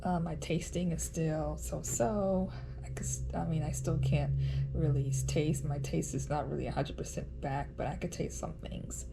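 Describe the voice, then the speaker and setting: A woman giving a casual vlog update in a nasal voice, chatty and halting with frequent ums. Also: sits low, fairly even intonation, vocal fry, rough recording. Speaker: vlogger.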